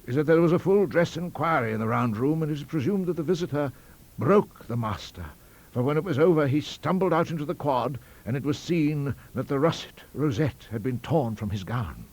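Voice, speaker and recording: Man acting a fussy, high-pitched college master. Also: expressive, brisk, a little mellow. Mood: confiding, hesitant. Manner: hesitant, complacent, rambling. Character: fussy, delicate college master, frightened of a ghost.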